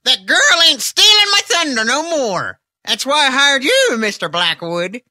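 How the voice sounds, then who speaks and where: A man voicing a nasty, domineering old hag in a shrill, strained falsetto for an audiobook. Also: wildly swooping pitch, a little slow, pushed and bright, no room sound, clean studio sound, heavily acted. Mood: indignant, scornful. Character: nasty old hag, stern gaunt female client.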